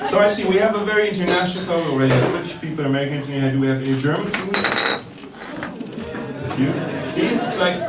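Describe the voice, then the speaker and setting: A man's voice: a little high, fast, very noisy. A man hosting a comedy show, teasing the audience and chuckling often between lines. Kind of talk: stand-up crowd work. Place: comedy venue with a live audience. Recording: echoey room, mic far off, loud crowd.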